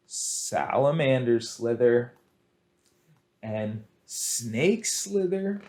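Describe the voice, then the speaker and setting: A man's voice: fairly high pitch, a little mellow. A man hosting a children's storytime, speaking in an exaggerated, sing-song way and encouraging young listeners along. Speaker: children's storytime librarian and host. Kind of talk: children's storytime with action songs and picture-book reading.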